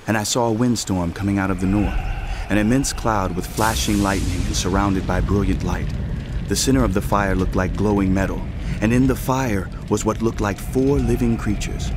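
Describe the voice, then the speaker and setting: Male actor as awestruck prophet for audio scripture drama. Lower register, reverent, measured storytelling over prominent score. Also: lightly acted. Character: awestruck male prophet recounting his visions. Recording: loud music and effects behind voice.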